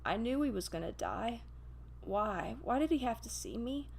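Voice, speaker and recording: A woman voicing a gentle, grieving male guardian angel who tells a story in a wistful, intimate way. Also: a little high, expressive, normal speed, gravelly, slight background noise.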